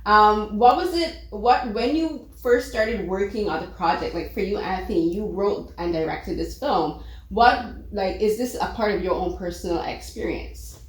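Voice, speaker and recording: A woman from Barbados hosts a casual film interview, talking in an eager, animated way with plenty of fillers. Her voice sits mid-range, and the room around her is echoey. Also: mid-range voice, moderate pace. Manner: chatty, animated, many fillers.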